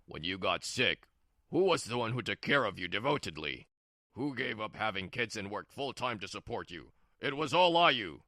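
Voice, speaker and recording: Give me a woman playing an older father-in-law in a manga dub, deep, firm and scolding, with a dry sound. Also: big pitch swings, normal speed, a bit bright, no echo, full character voice. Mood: stern, scolding.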